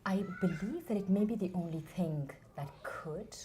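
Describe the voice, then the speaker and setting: A woman with a low, mellow voice answers interview questions in an earnest, measured way. She speaks with deep conviction, and faint ambient noise hums behind her. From India. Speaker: interview guest, founder of a theatre academy.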